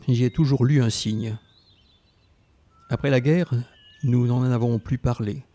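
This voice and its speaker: A man's voice: mid-range voice, fairly even intonation, measured pace, mellow, close to an everyday voice. A man playing an elderly former Resistance fighter, narrating his memories tenderly and reflectively in a radio drama. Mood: tender, nostalgic.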